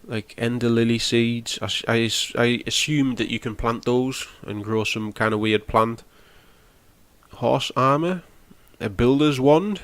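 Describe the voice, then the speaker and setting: A man's voice: mid-range voice, measured pace, dry recording. A young man with a cold, sounding nasal and snotty as he casually walks through a game with plenty of ums. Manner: rambling, explains as he goes, frequent ums. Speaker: gaming channel host. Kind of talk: casual gameplay walkthrough.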